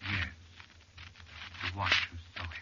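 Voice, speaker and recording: Serene, enigmatic male guide from old radio horror; lowish voice, formal and unhurried, heavy noise, muffled sound. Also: flat delivery. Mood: serene, courteous. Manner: formal, measured, polite, unhurried. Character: calm, courteous, mysterious male cliff-dweller guide. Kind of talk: 1940s radio horror acting. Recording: very noisy, muffled, clicky vintage radio recording.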